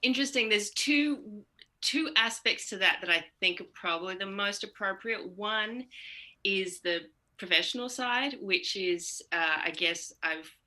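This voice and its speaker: A woman's voice: mid-range voice, lively intonation, a little slow, sharp tone. An Australian-accented woman chatting casually as an interview guest.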